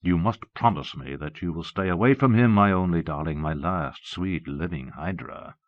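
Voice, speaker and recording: A man's voice: low voice, normal speed, no room sound. A man voicing a scheming, possessive disembodied evil mastermind in an audiobook, commanding, grandiose and darkly doting. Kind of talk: audiobook character voice. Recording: muffled old cassette audiobook.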